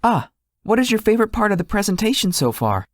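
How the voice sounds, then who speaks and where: A man playing a gracious young celebrity tech guru in a visual novel, speaking politely and formally in a measured way. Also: high voice, big pitch swings, no room sound, studio quality. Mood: courteous. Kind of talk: visual novel character acting.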